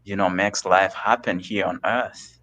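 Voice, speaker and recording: Man from Kenya, low voice, very slow and searching, reflective spiritual talk. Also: fairly even intonation, fairly bright tone. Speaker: guest on a live stream.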